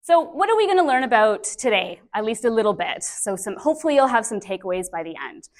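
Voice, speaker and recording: A woman in her thirties, a speech-language pathologist giving a lively, chatty conference talk on a microphone, with many fillers. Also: on the high side, quick pace. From Canada. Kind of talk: conference presentation. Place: conference breakout room. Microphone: handheld or stand mic with feedback.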